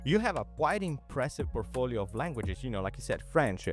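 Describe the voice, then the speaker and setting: A man's voice: mid-range voice, big pitch swings, brisk, faint music behind. A man co-hosting an interview, asking loose, rambling questions full of fillers. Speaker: co-host. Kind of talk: casual interview chat.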